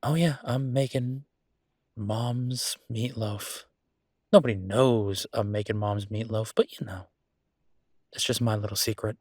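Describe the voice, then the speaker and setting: A man playing an affectionate, playfully grumbling young werewolf chef in an intimate, casual boyfriend roleplay audio, chatty and halting. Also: fairly low pitch, steady tone, a little mellow, dry recording, studio quality. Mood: fond, enthusiastic.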